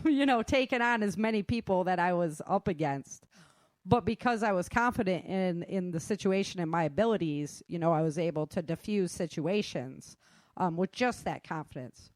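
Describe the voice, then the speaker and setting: A woman's voice: lowish voice, lively intonation, moderate pace, darkish tone, no echo. A middle-aged woman talking informally as a self-defense instructor, acting out remarks as she tells stories. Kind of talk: casual informal talk.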